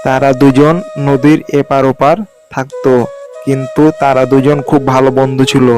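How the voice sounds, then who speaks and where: Even-toned male storyteller over music. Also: mid-range voice, normal speed. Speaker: narrator. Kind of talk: children's storybook narration. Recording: music with flute behind narration.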